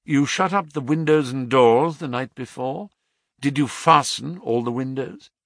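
A man reading aloud, playing a calm, precise male detective who asks measured, probing questions. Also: mid-range voice, no echo, clean studio sound, voice pushed up. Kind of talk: audiobook reading.

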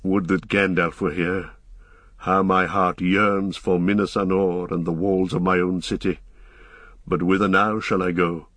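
A man voicing a proud, solemn ranger-king in a storybook reading, with a stately, declamatory delivery and a gravelly voice. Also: medium pitch, flat delivery, unhurried, sharp tone, no echo, exaggerated voice. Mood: wistful.

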